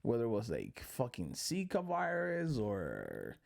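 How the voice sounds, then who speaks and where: A man's voice: medium pitch, wildly swooping pitch, unhurried, gravelly, a little mellow. A man from the Dominican Republic hosting a podcast, chatting casually with loose, profane, joking talk. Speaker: podcast host. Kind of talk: casual chat.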